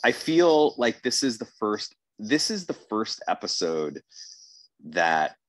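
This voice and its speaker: Deep-voiced man on a casual podcast. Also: very animated, slow pace, no echo, rough recording. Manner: emphatic, repeats words for emphasis. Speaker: podcast host.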